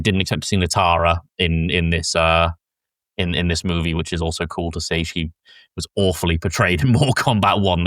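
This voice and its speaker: A man hosting a podcast, casually recapping a film plot in a rambling, halting way with frequent swearing. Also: low-pitched, fairly bright tone, no echo. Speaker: podcast host.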